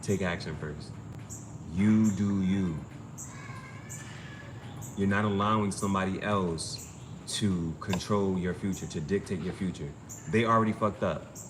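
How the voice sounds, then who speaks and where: A man's voice: low voice, measured pace, long pauses. A man giving an informal spiritual reading, urging advice in an insistent, repetitive, emphatic way. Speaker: amateur tarot reader and streamer. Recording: clearly noisy background ambience.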